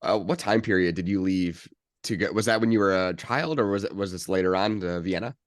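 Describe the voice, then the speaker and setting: Deep-voiced male podcast interviewer, close and dry. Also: fairly quick, dry recording. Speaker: podcast host interviewing a guest.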